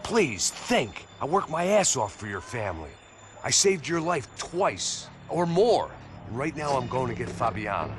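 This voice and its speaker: An actor playing a weary, hard-drinking ex-cop with a dry, sardonic manner, in a game cutscene. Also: male voice, mid-range voice, very animated, unhurried. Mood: pleading, heated. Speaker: narrator and lead character. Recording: game cutscene with loud music score.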